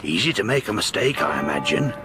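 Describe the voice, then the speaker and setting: An actor playing a stolid, deferential police sergeant, plain-spoken, in a radio horror drama. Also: male voice, medium pitch, very animated, brisk, gravelly. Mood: matter-of-fact. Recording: radio drama with music behind.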